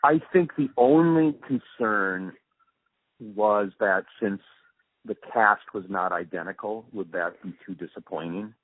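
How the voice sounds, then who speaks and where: An older man reflecting in an interview, speaking in a halting, thoughtful way with pauses mid-sentence. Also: on the low side, no echo. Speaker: radio drama sound designer and producer being interviewed. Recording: muffled phone-like narrowband line.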